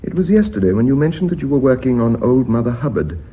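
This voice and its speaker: An actor playing an earnest, loyal company secretary in a radio drama, polite, precise and persuasive. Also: male voice, medium pitch, sing-song, quick pace, natural voice. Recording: muffled, hissy old radio recording.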